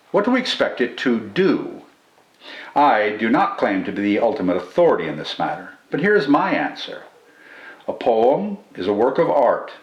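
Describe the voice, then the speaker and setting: An older man reading a scripted literary commentary and reciting verse as host, in a measured, formal and expressive manner with deliberate phrasing. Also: mid-range voice. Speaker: poetry channel host and reader. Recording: roomy indoor echo.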